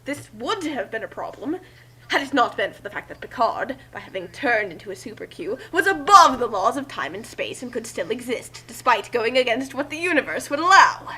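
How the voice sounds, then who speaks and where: A woman's voice: a little high, big pitch swings, a little fast, bright, forceful tone, breathy edge, rough recording. A woman reading a fan fiction story aloud as narrator, in an amateur group session with joking asides.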